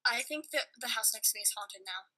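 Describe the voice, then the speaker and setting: A woman's voice: a little high, lively intonation, brisk, pushed and bright. A woman telling a personal story casually, in an earnest and emphatic way. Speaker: podcast participant.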